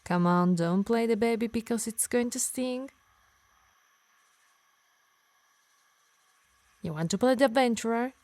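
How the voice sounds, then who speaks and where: A woman's voice: a little high, a little fast, a little mellow, dry recording, natural voice. A woman playing a possessive, teasing harpy healer who scolds fondly in an ASMR roleplay. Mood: concerned, tender. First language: Italian.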